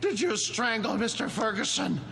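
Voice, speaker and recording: An actor playing a devoted, lonely museum caretaker, meek but fervent, in a vintage television drama with emotional, deferential delivery. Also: male voice, very high voice, sing-song, moderate pace, vocal fry, bright, forceful tone, a little hiss or hum, full character voice. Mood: anguished, accusing.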